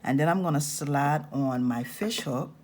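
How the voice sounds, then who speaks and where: Slow-talking raspy woman teaching crafts. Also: sits low, a little mellow. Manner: slow, patient step-by-step instructions. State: raspy-voiced this morning. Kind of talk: hands-on craft tutorial.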